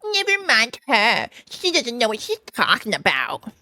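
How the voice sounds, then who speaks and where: A woman's voice: fairly high pitch, wildly swooping pitch, unhurried, bright, forceful tone, no room sound, heavily acted. A woman voicing a haughty, curt male sentry sergeant from a storybook, commanding and dismissive. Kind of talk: storybook character voice.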